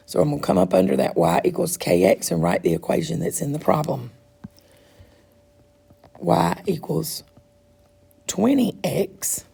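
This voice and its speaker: A woman teacher with a stuffed-up, froggy throat explains math slowly and patiently. Her voice is heavily gravelly and deeply husky, and she sits a little low in pitch. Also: dark tone. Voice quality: raspy. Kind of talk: recorded math lesson walkthrough.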